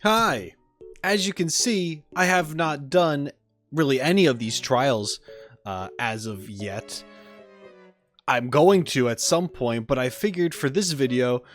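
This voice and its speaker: A man's voice: mid-range voice, expressive, slow pace, faint music behind. A man hosting a reaction video, giving casual, chatty commentary with informal gamer slang. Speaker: host, animator reacting to game videos.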